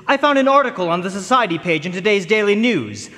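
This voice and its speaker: A knowing, wry researcher in a radio play. The voice sits high and moves in lively swings, with long hall reverb. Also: male voice, quick pace. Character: male researcher, wry, knowing, self-assured. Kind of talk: live radio drama acting.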